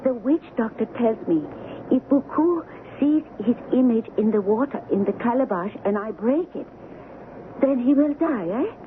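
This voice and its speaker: A woman's voice: on the high side, expressive, moderate pace, natural voice. An actress playing a devoted young woman in love, in 1970s radio drama style. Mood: grave, secretive. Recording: tinny, noisy old radio broadcast.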